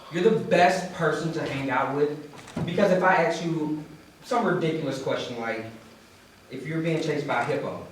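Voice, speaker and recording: A man's voice: a little high, moderate pace. Echoey man giving a conversational reading. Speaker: wedding reader. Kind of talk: conversational monologue reading, reader's theater. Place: large echoey room at a wedding. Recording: echoey hall, distant mic, faint noise.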